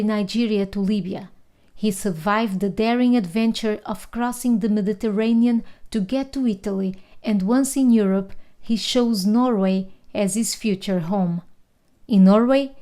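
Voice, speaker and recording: A woman reporter narrating a news feature in a measured, storytelling way. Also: mid-range voice, dark tone.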